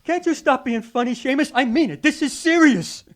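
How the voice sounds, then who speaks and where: An actor playing an agitated, none-too-bright police sergeant in an old-time radio drama, with exasperated, slangy tough-cop talk. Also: male voice, very high voice, moderate pace. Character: agitated, dim, gruff-talking male police sergeant.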